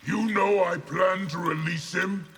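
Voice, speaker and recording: A man voicing a proud, stern warlord general in a fighting game, speaking in a deliberate, commanding way. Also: sits high, fairly even intonation, fairly bright tone. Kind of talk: fighting game character acting. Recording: reverberant hall, background noise.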